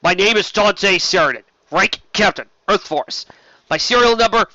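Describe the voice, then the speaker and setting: A man's voice: sits high, expressive, moderate pace, no room sound, lo-fi sound, pitched above the performer's own voice, exaggerated voice. A man voicing a defiant captured human military captain with a hard military delivery, in an audiobook reading. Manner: clipped rote military delivery breaking into shouts.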